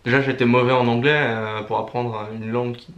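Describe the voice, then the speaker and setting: A young man from Brittany who talks hesitantly with many pauses while co-hosting a casual vlog. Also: mid-range voice, flat delivery, a bit bright, roomy sound, lo-fi sound. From Brittany, France. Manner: hesitant, many fillers, understated. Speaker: vlog co-host. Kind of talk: casual couple vlog chat.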